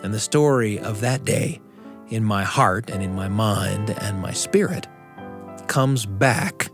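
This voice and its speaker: A man hosting a radio show, telling stories and chatting with deliberate phrasing and dramatic pauses. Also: on the low side, lively intonation, over music. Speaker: radio show host and storyteller. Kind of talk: reflective personal storytelling and hosted studio conversation. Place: radio studio control room.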